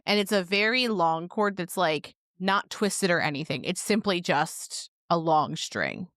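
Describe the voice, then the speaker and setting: A woman co-hosting a podcast, with a naturally deep voice, talking in an animated, casual way. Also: a little slow, dry recording, studio quality. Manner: animated, jumps into high voices when quoting. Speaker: podcast co-host. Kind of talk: casual true crime chat.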